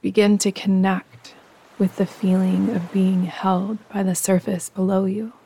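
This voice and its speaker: A woman guiding a yoga nidra meditation in a soft, breathy voice, calm, soothing and unhurried. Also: medium pitch, a little hiss or hum, rough recording. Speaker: meditation guide and host.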